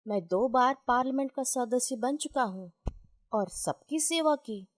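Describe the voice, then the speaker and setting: A humble old gentleman of a storybook tale , as a woman reads him, gives gentle admonishing counsel. The delivery is very slow and philosophical, in a fairly high register. The sound is dry and close. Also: lively intonation, husky, no room sound. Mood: calm, admonishing.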